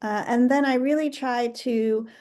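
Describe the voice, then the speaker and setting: A middle-aged American woman speaks as a guest in a relaxed interview, taking her time and pausing often with soft fillers. Her voice sits a little high, and her delivery is unhurried. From United States (New Jersey). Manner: slow, thoughtful, many um and uh pauses. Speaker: guest artist. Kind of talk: conversational interview.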